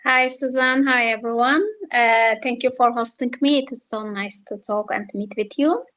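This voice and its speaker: Woman, halting and high. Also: fairly even intonation, fairly bright tone. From Turkey. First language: Turkish. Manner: halting, many fillers and restarts. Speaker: yoga teacher guest. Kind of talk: casual interview answers.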